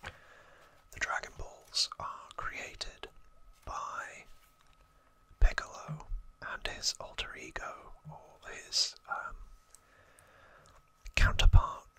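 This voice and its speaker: An ASMR host explaining trivia off the cuff in a hushed, breathy, unvoiced whisper. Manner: slow, hushed, unscripted explaining.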